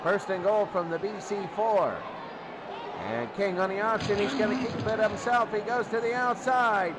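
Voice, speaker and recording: A man calling American football play-by-play as announcer, excitable and repeating phrases for emphasis. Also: high-pitched, normal speed. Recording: loud crowd roar and heavy noise. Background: roaring stadium crowd.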